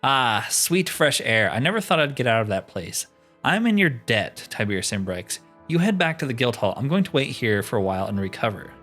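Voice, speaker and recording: A man's voice: medium pitch, sing-song, brisk, fairly bright tone, soft background music. A man voicing an earnest, formal guild fighter from a fantasy role-playing game, speaking in declarations. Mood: grateful, relieved.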